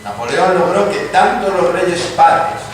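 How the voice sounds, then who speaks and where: Man acting a formal, scornful gentleman chronicler, echoing hall. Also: high voice, lively intonation, measured pace. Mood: courteous, explanatory. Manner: declamatory, formal, courteous. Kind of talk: dramatized radio-play reading. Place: live stage reading in a hall. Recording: distant echoey hall, clear noise.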